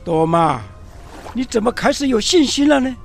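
A man's voice: very high voice, lively intonation, moderate pace, creaky, faint music behind, full character voice. An actor playing a plain-spoken, fretful old fisherman in a biblical radio drama, with a theatrical, plaintive delivery. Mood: marvelling.

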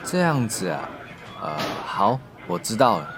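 A man playing a troubled, earnest character in an audio drama, with a halting, hesitant delivery. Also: fairly low pitch, big pitch swings. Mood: surprised, uneasy. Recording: music under the voice.

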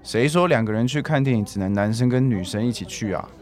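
A man playing a laid-back high school boy in a radio drama, nonchalant and matter-of-fact. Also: fast, some chatter behind, natural voice. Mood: casual.

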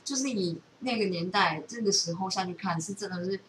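A woman with a Taiwanese Mandarin accent chatting casually as a podcast co-host, giving animated, opinionated, rambling explanations. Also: mid-range voice, brisk. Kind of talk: casual chat about films and series. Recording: roomy, distant mic with steady noise.

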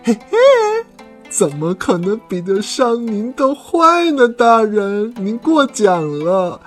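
A woman's voice: mid-range voice, very animated, takes it slowly, faint music behind. A woman voicing a jealous, scheming male stink bug shopkeeper who sniggers and fawns. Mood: fawning. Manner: wheedling, sniggering, bossy to servants.

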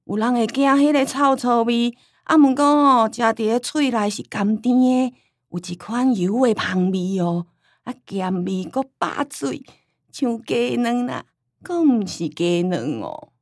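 A woman playing a forgetful, homely old grandmother in a radio drama, rambling and sighing with a Taiwanese accent and drawn-out sentence endings. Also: a little high, animated, no room sound, clean studio sound. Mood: wistful, rambling.

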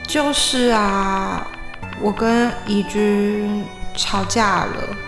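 A woman's voice: medium pitch, fairly even intonation, extremely slow, gravelly. A young woman playing a sensitive, reflective character in an audio drama. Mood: downhearted. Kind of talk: amateur audio drama narration and dialogue. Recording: roomy echo under music. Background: music.

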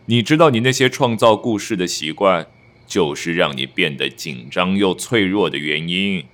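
A man's voice: sits low, lively intonation, moderate pace, fairly bright tone. A man acting a controlling, patronising young husband who sounds outwardly tender, in an audio drama. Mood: tender, condescending.

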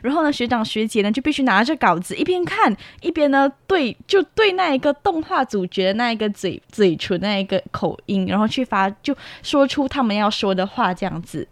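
A woman's voice: a little high, expressive, fairly quick, hardly any pauses, dry recording. A young woman interviewing guests as a student radio host, politely explaining things to listeners and reacting with short exclamations. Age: young adult.

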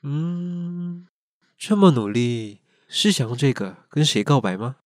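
Aloof, shy schoolboy, Taiwanese accent, medium pitch, curt and reserved, dry studio radio drama. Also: male voice, lively intonation, takes it slowly, dry recording. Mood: guarded, awkward. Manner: curt, reserved, easily flustered. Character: aloof, sharp-tongued, shy teenage schoolboy. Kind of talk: Mandarin boys' love radio drama acting.

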